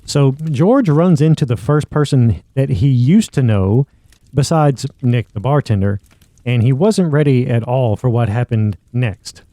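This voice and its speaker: A man's voice: medium pitch, lively intonation, unhurried, dark tone, no echo. A man hosting a podcast, giving linking commentary between story excerpts. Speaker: podcast host. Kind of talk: commentary linking radio drama clips.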